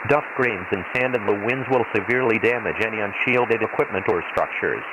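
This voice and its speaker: A man playing an impersonal imperial weather announcer on a desert planet, reading a formal, measured hazard bulletin through a radio filter. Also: lowish voice. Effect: radio transmission filter. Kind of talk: scripted emergency weather bulletin, read like automated weather radio. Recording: hissy, noisy shortwave-style radio transmission.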